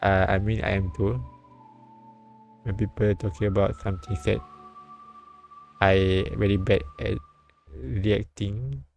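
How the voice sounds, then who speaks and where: Halting, low-key man reading aloud, even pitch. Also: creaky. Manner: halting, often repeating words as he reads. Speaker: live streamer reading a visual novel aloud. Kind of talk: read-aloud narration with casual asides. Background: soft game music.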